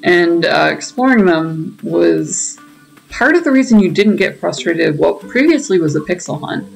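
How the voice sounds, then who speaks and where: A woman's voice: lowish voice, lively intonation, normal speed, creaky, low-quality recording. A woman giving casual playthrough commentary as a game streamer, chatting and thinking aloud. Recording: game music behind the voice.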